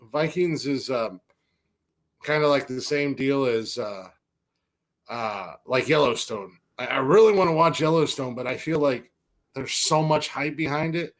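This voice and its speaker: A man's voice: medium pitch, a little slow. A man co-hosting a podcast, rambling in blunt, casual talk and swearing a lot. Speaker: podcast co-host. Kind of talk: casual profane banter.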